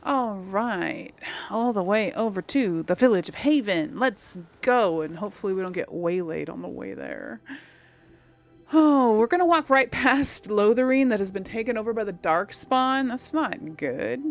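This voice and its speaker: A woman's voice: medium pitch, lively intonation, a little slow. A woman giving casual, chatty video game commentary, thinking aloud as she plays.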